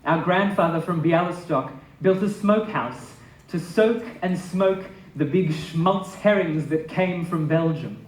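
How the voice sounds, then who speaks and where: A man on stage as a grocer's son looking back on family life, in a slightly high voice. He reads from a script some way from the mic in an echoey hall. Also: a little slow, natural voice. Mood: nostalgic. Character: grocer's child cheerfully recalling family shop. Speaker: stage reader. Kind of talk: dramatic reading of family memories. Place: indoor live literary event. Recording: echoey hall, distant mic, faint hiss.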